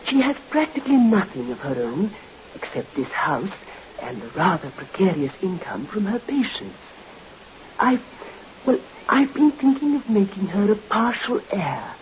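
A woman playing a gentle, frail, middle-aged invalid spinster in an old radio drama, earnest, plaintive and a little hesitant. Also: medium pitch, sing-song, measured pace. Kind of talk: 1950s radio drama acting. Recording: muffled old radio recording, faint hiss.

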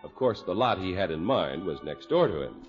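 A man's voice: deep voice, sing-song, normal speed, soft background music. A man announcing in scripted 1950s radio style, with polished, emphatic salesmanship. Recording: dull 1950s radio recording.

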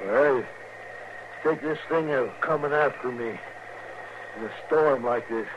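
An actor playing a sullen, distrustful cattle rustler in a 1950s radio western, with a drawling rural Western American accent and uneducated, folksy speech. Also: male voice, mid-range voice, slow, natural voice. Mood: sullen, puzzled. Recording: muffled, noisy 1950s radio recording.

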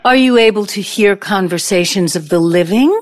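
A woman's voice: medium pitch, moderate pace, close to an everyday voice. Woman acting a blunt, bitter ex-girlfriend. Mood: accusing, bitter. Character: bitter, incredulous middle-aged ex-girlfriend, a pianist. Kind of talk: audio play acting.

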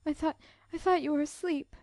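Woman voicing a high, tearful, timid girl. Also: steady tone, a little mellow, no room sound, clean studio sound. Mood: tearful, despairing. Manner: halting, pleading. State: tearful, sobbing. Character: gentle, suffering young girl, timid and self-effacing. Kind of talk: audiobook character dialogue.

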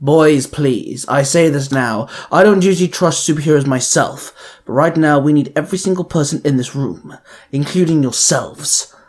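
A man's voice: on the high side, a little slow, a little mellow, natural voice. A man voicing Mister Pocket, a commanding male boss of a mutant crew who speaks in firm, rallying speeches. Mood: gentle.

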